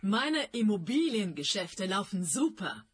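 Poised lady suspect, radio crime comedy. Husky timbre, arch and superior delivery. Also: medium pitch, big pitch swings, a little slow. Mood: amused, defiant. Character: poised, haughty businesswoman suspect, coolly amused.

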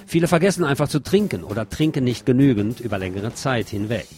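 A man's voice: mid-range voice, lively intonation, fast, darkish tone. A man presenting radio news, reading from a script in a narrated style.

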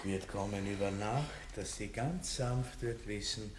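An actor playing a coarse, self-satisfied country baron in a radio play, with a Viennese accent and pompous, leering bluster. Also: male voice, fairly low pitch, a little slow, natural voice. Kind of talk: German-language radio play acting. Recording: roomy, distant, lightly noisy old radio recording.

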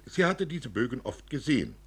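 A man reading a literary story for radio in a dramatic, expressive way, acting out the dialogue. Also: mid-range voice, normal speed, slightly rough. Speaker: narrator.

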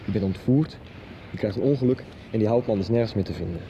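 A man's voice: lowish voice, fast talker, dull audio, lightly acted. An actor playing a sober, matter-of-fact man on a search in a radio drama. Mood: sceptical. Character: sober male companion on an amateur search. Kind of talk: 1990s radio drama acting. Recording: clearly noisy background ambience.

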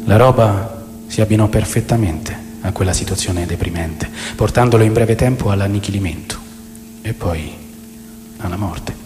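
A man's voice: lowish voice, normal speed, over music, close to an everyday voice. A man playing a brooding narrator who mourns a lost childhood friend, in a solemn, reflective radio drama monologue. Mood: mournful. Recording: echoey long reverb, faint background noise.

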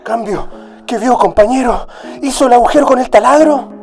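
High-voiced insistent prisoner lookout. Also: male voice, normal speed. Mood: anxious, urgent. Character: cautious, insistent male prisoner lookout in escape plot. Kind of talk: radio drama acting. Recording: music behind the voice.

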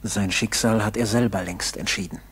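A man narrating a radio play solemnly, in a measured way with dramatic pauses. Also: on the low side, steady tone, background noise.

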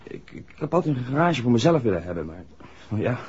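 Young village mechanic in old 60s radio play, polite, unsure, bit husky, muffled sound. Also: male voice, mid-range voice, very animated, a little fast, darkish tone. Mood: earnest, reminiscing. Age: young adult. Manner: hesitant, deferential to his questioner. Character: earnest young village garage man, worried about mother. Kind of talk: 1960s radio play acting. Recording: dull 1960s radio drama recording.

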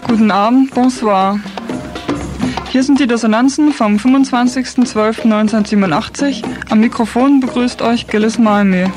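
A woman hosts a radio magazine in a fairly high voice. She reads scripted presentation, with music clearly playing behind her. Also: moderate pace. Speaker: radio host.

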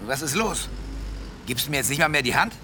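A man's voice: medium pitch, lively intonation, fairly quick, sharp tone, slight background noise. An actor playing an arrogant, aggrieved wealthy man in a German radio drama, blustering and indignant as he defends himself.

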